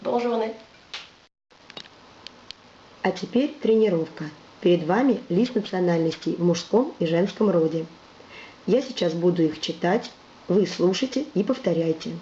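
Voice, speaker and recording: A woman teaching French in a video lesson, patiently spelling out words and saying them slowly for the listener to repeat. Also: fairly low pitch, animated, mellow. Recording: roomy, distant, dull with faint hiss.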